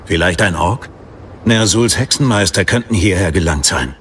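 A man playing a devoted human warrior of the Light, earnest and measured, in a fantasy audiobook. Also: low voice, animated, fairly bright tone. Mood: alert, serious. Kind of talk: dramatized fantasy audiobook acting.

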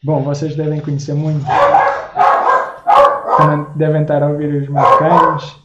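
A man's voice: on the high side, completely flat delivery, moderate pace, dull audio, loud music behind the voice. A young man in his twenties with a Lisbon Portuguese accent, chatting casually on a live stream as he thanks viewers by name. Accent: European Portuguese, Lisbon. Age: 20s. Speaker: live-stream host and artist. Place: small home room indoors.

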